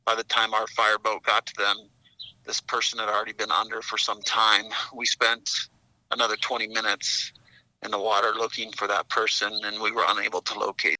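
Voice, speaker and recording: A man gives an official statement in a strikingly bright, forceful voice. His pitch is lowish and stays very even. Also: brisk, no room sound, poor audio. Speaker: fire department spokesman.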